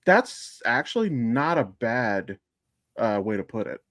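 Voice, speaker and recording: A man's voice: mid-range voice, big pitch swings, unhurried, a bit bright, no echo, studio quality. A man chatting casually as a podcast guest or co-host, telling a story in a rambling, digressive way. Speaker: podcast co-host and amateur voice actor. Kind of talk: casual chat.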